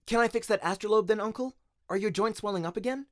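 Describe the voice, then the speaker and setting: Bright, naive boy apprentice played by a grown man, high-pitched, excitable and insistent, for a storybook radio play. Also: fast talker, no room sound, clean studio sound, close to an everyday voice. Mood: curious, eager.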